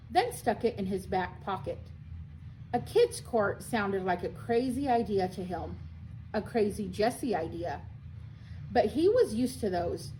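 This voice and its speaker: A woman's voice: medium pitch, dark tone, a little hiss or hum, low-quality recording. A woman reading a children's story aloud expressively, like a school librarian at storytime.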